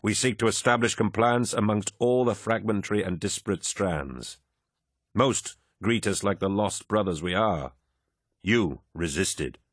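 A man voicing a calm, firm giant warrior in an audiobook, speaking in a measured way. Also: on the low side, no room sound, studio quality.

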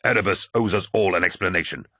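A man's voice: sits low, fast, bright, forceful tone, dry recording, clean studio sound, exaggerated voice. A man voicing a hot-tempered, suspicious warrior captain in an audiobook, curt, defiant and menacing. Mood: angry threat. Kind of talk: dramatic audiobook character voice.

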